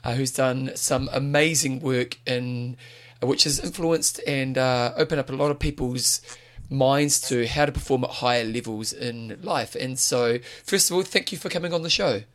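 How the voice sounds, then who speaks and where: A man's voice: mid-range voice, moderate pace. A man hosting a podcast, talking in an upbeat, informal way and calling his listeners team. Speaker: podcast host. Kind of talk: casual podcast interview.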